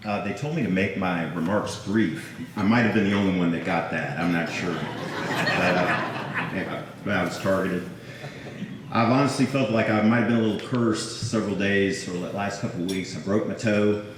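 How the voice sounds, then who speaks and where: A man in his fifties with a low voice jokes humbly through his acceptance speech. He sounds set back from the mic in a heavily echoing hall, with a faint room hum behind him. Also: normal speed. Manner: self-deprecating, joking, humble. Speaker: hall of fame inductee. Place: large banquet hall. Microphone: podium microphone. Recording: distant, echoey hall over a PA.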